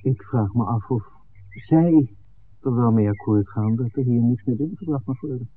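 An actor playing a curious, slightly wary film maker with a casual, hesitant manner in a 1960s radio play. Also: male voice, medium pitch, moderate pace, husky, natural voice. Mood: cautious. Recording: tinny old radio recording with noise.

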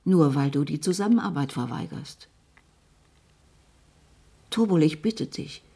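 A woman playing an earnest, imploring scientist in a science fiction radio drama, with a husky, creaky voice and short measured phrases. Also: low voice, expressive, warm tone, heavily acted. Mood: urgent, imploring. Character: earnest, pleading female scientist coaxing a distrustful being.

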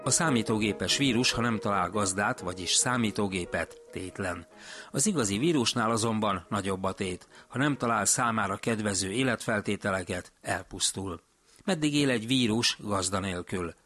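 Guy hosting a science radio show, fairly low voice, scripted narration and questions. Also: a bit bright, faint music behind. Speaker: radio presenter and interviewer.

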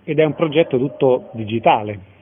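A man's voice: mid-range voice, brisk. A man hosting a radio interview, putting short questions and introducing guests. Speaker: radio host. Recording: tinny phone-like sound, faint noise.

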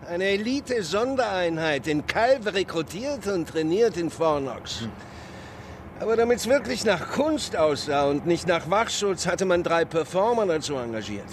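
An actor playing a talkative, obsessive whistleblowing author in a radio drama, insistent, colloquial and sardonic. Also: male voice, sits high, slight background noise.

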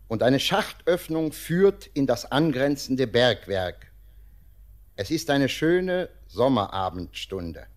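Slow, swooping dramatic radio narrator. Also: male voice, mid-range voice. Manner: deliberate, theatrical, swooping delivery. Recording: 1949 radio recording.

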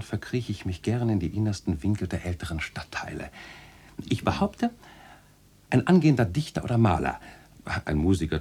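Husky, expansive bohemian artist. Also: male voice, fairly low pitch, mellow. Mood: opinionated, animated. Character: expansive, opinionated bohemian male draughtsman, idle wanderer. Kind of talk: theatrical literary radio play acting.

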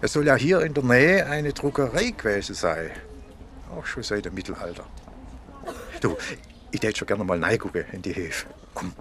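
An actor in a radio drama playing a folksy, eager small-town police inspector. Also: male voice, on the low side, normal speed, fairly bright tone, a little hiss or hum. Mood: eager, curious.

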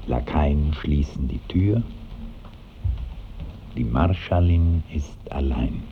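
A man's voice: rumbling low, slow. A man narrating stage directions for a radio drama in a scripted style. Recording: dull 1960s radio recording, faint noise.

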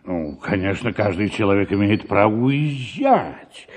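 Middle-aged father, effusive, blustering, huge pitch swings, unhurried, theatrical radio play. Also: sing-song. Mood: indignant. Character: middle-aged father of seven daughters, fawning then indignant. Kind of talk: theatrical radio drama acting.

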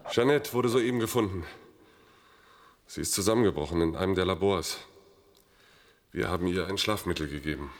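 An actor playing an earnest, urgent spaceship officer in a German radio drama. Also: male voice, sits low, a little fast, long pauses. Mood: tense, reporting.

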